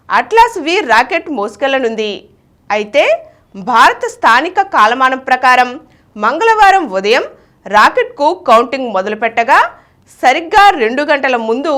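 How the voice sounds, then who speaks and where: A woman reads scripted news in a high-pitched voice. Her intonation is very animated, moving widely up and down through each sentence. She speaks in the formal manner of a news reader.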